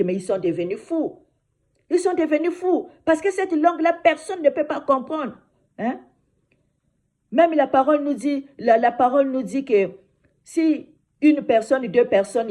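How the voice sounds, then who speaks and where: Woman preacher, second-language speaker, higher pitch, mellow voice, informal sermon. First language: another mother tongue. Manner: repeats "mes frères et sœurs" and "hein" often; slows down for scripture reading.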